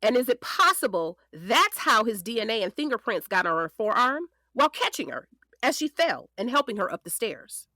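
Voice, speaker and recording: A woman playing a sharp, determined defense attorney in an audio drama, sarcastic yet caring, with pointed theatrical delivery. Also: on the high side, big pitch swings, moderate pace, no room sound, full character voice. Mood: controlled, probing.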